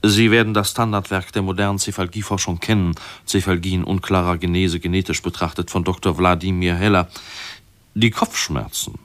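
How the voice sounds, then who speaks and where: A man's voice: low voice, steady tone, fairly quick, lightly acted. An actor playing a pompous, long-winded male medical researcher who lectures didactically in a radio play. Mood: self-important, confiding.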